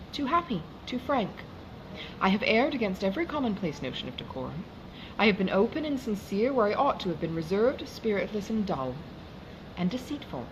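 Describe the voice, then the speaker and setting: An indignant, frank young gentlewoman from an audiobook novel, as a woman reader plays her, speaks with impassioned emphasis. Her pitch climbs and swings widely, with clear noise behind it. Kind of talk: audiobook character dialogue.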